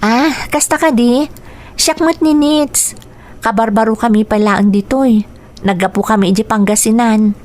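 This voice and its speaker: Woman acting a high-voiced Ilocano teenage girl. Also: moderate pace, darkish tone, natural voice. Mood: friendly. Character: sweet, determined sixteen-year-old daughter seeking work. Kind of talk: radio drama acting.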